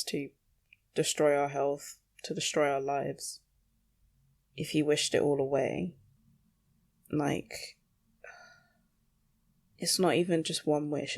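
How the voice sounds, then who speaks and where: Blunt, creaky-voiced young podcaster rambling casually. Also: mid-range voice, darkish tone. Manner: blunt, sweary, self-questioning. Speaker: podcast host. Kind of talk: casual solo rambling monologue.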